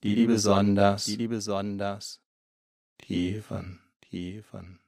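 Man, hypnotist, gravelly, slow soothing delivery, long pauses. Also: on the low side, steady tone, dry recording, studio quality. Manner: slow, soft and lulling, with long pauses and repeated words. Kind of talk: guided self-confidence hypnosis.

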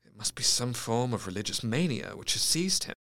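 A man's voice: medium pitch, sing-song, a little fast, pushed and bright. An actor playing a grave, melancholy Victorian asylum doctor, narrating his diary precisely and earnestly in a gothic audio drama. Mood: clinical, intrigued.

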